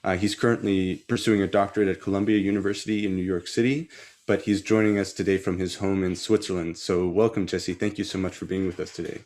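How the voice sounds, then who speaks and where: A low-voiced man hosts a webinar interview over a video call. He is polite and friendly, and he speaks in an easy, even way. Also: quick pace. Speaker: interviewer, bassoonist.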